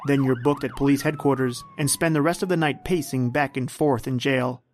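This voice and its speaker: A man's voice: medium pitch, brisk, background noise, close to an everyday voice. A man playing a knowing, taunting narrator in a scripted suspense radio drama. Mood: ominous, insinuating. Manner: insinuating, knowing. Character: ominous, taunting male narrator addressing the hero.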